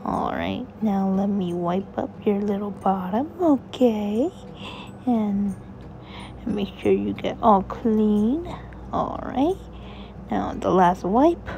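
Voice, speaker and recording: Woman doing a doting mother fox, slow sing-song baby talk, mellow. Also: mid-range voice, lively intonation, muffled recording, slight background noise.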